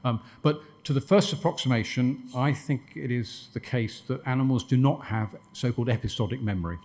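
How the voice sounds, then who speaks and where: A man's voice: medium pitch, a little fast, darkish tone. A man answering questions after his lecture, discursive, with stumbling restarts and dry wit. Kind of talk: conference Q&A answers.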